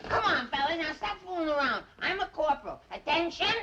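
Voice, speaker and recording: A man playing a cowardly, childlike army paratrooper in a slapstick film comedy, with a squeaky, whiny voice and babyish, stammering delivery. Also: very animated, brisk. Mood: hapless bossiness. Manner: babyish pleading and goofy stammering. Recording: noisy old film soundtrack.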